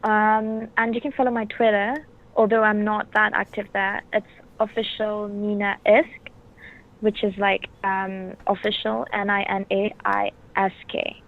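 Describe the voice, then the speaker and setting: A young woman from Brunei with a Bruneian accent, answering interview questions in a soft-spoken, hesitant way with many ums. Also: mid-range voice, little pitch movement, a little slow, creaky. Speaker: actress guest. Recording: tinny phone-like line.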